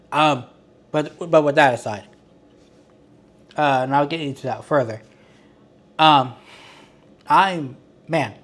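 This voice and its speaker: A man talking casually as the host of an online commentary channel. He sounds exasperated, rambles, restarts his sentences often, and calls his own voice monotone. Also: medium pitch. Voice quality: self-described monotone. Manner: rambling, with frequent restarts and filler words; exasperated and critical.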